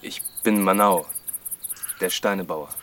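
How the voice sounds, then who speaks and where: A solitary farmer of a radio drama, earnest and unbending. His fairly low voice is measured and plain-spoken, sparing with words. A faint bed of ambient noise lies underneath. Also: a bit bright. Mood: gentle, earnest. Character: reserved, solitary well-off farmer, earnest and stubborn. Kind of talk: scripted German radio drama acting.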